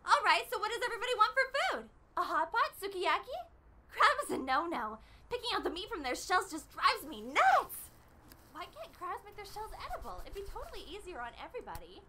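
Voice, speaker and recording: A woman's voice: very high voice, big pitch swings, a little fast, sharp tone, heavily acted. A woman voicing a bossy, exuberant teenage schoolgirl club leader in an anime fan dub, brash, emphatic and sure of herself. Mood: breezy, opinionated. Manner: emphatic, declarative, self-assured. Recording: amateur fan dub with background noise.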